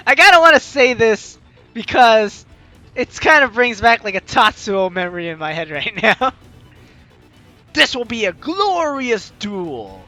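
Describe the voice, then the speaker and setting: A woman hosting a let's play, chatty and excitable, reading game narration aloud with drama and commenting as she goes. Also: a little high, a little slow. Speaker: gaming video host. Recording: game music behind the voice. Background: game music.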